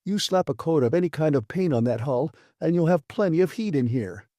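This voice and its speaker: A man voicing a gruff, blunt and defiant male maintenance chief in an audiobook, arguing emphatically. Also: on the high side, expressive, a little fast, mellow, no echo, clean studio sound, pitched above the performer's own voice, lightly acted. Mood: growling, irritated. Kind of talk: dramatised audiobook reading.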